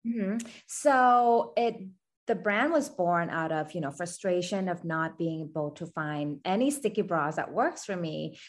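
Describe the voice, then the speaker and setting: Woman from Thailand, a founder and guest; low voice, earnest, steady. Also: unhurried. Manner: earnest, steady, many "you know" fillers. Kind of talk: podcast interview.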